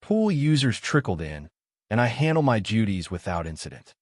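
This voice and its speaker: A man narrating a true horror story in the first person, with halting, suspenseful delivery in short broken phrases. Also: on the low side, sing-song, no echo, studio quality.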